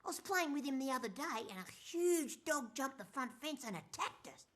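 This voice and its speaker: Cheeky high-voiced little boy, played by a woman. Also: big pitch swings, fairly quick, fairly bright tone. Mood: cheeky, earnest. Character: cheeky, matter-of-fact, foul-mouthed little schoolboy. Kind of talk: animated comedy voice acting.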